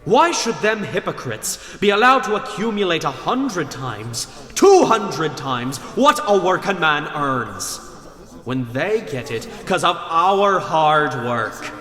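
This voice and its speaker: A fiery working-class rabble-rouser delivers a radio drama speech in a reverberant hall. His voice is bright and forceful, swinging widely as he throws out rhetorical questions to rally the poor. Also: fairly high pitch, full character voice. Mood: impassioned, defiant. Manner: impassioned oratory, rhetorical questions. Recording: echoey hall with long reverb.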